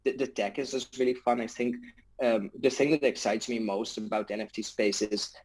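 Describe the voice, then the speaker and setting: Man from the Netherlands, medium pitch, halting, repeats words, casual interview guest. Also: steady tone, darkish tone, breathy edge, rough recording. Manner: hesitant, repeats words often. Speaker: interview guest, tech startup founder. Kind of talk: casual conversational explanation.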